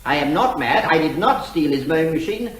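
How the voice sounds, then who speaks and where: Guy doing fussy rambling witness, high nervy voice that swoops, comic courtroom drama. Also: expressive, brisk, fairly bright tone, roomy sound, slight background noise, poor audio. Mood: stubborn, assured. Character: flustered, garrulous, plaintive ordinary man as court witness.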